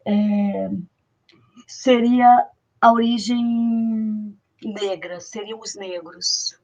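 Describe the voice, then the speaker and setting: A woman's voice: medium pitch, steady tone, extremely slow, dark tone, lo-fi sound. A woman guest, explaining a folk tradition in an interview with many fillers and restarts.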